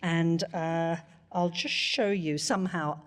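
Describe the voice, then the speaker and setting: A woman's voice: fairly low pitch, steady tone, takes it slowly, smooth, big-room sound. A woman interviewing onstage, flustered and laughing while she struggles with her tech. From Britain. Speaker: interviewer, animator. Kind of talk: live onstage conversation. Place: outdoor terrace event.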